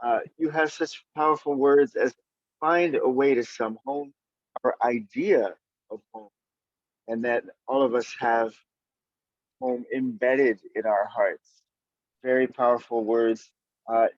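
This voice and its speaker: A man hosting an online open mic casually and appreciatively, in halting, hesitant phrases, heard over dull call audio. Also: medium pitch, darkish tone, no echo. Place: online video event.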